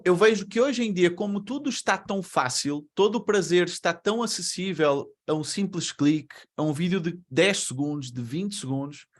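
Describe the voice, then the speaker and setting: Higher-pitched man speaking with a Lisbon-style Portuguese accent. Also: a bit bright. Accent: European Portuguese. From Brazil. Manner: conversational, asks rhetorical questions. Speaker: webinar speaker. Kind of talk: presentation to an online audience.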